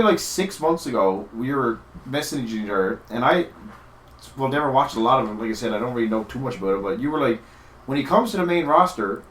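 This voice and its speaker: A man's voice: mid-range voice, fairly bright tone. A young man from Newfoundland co-hosting a casual chat show, rambling, swearing casually and laughing often. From Newfoundland, Canada. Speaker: podcast co-host. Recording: echoey room.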